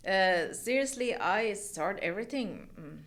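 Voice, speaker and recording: A woman from Iran with a Persian accent, chatting as a podcast guest, laughing often and speaking in halting, non-native phrasing. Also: medium pitch, lively intonation, fairly bright tone. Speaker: podcast guest, mortgage loan officer. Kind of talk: casual interview chat.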